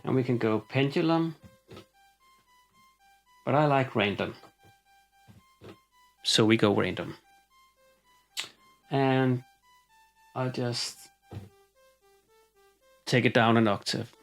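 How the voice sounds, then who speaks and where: A man walking through a synthesizer patch in a home studio, talking in a relaxed, halting way with frequent ums and uhs. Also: medium pitch, gravelly. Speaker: synthesizer demonstrator. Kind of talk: hands-on patch explanation. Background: synthesizer music.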